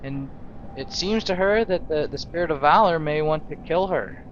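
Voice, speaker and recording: A man with a fairly high voice narrates his role-play of a video game. He feels his way through the story in halting phrases, with clear game noise behind him. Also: dull audio. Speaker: gaming video host. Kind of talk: improvised role-play narration over gameplay. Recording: noisy game audio behind voice. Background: video game ambience.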